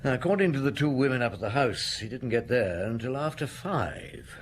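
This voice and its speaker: An actor playing a patient, inquisitive police inspector from Paris in a radio play, asking questions with hesitant murmurs. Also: male voice, mid-range voice, lively intonation, moderate pace, slight background noise, lightly acted. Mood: probing. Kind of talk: radio drama acting.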